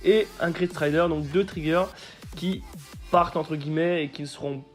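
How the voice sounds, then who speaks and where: A man with a hoarse voice from a cold, commentating a card game match he played and explaining each play step by step. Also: fairly high pitch, steady tone, moderate pace, soft background music. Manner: explains plays step by step with frequent fillers.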